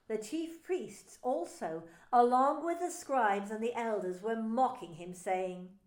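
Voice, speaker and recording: Slow woman reading scripture aloud. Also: on the high side, a little mellow, roomy sound. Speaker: pastor narrating.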